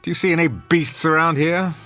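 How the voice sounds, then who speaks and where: An actor playing a pompous, sardonic, people-hating theater critic and husband in a radio drama, with an acerbic, theatrical manner. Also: male voice, on the high side, fairly quick, a bit bright, faint music behind, natural voice. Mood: sardonic, scornful.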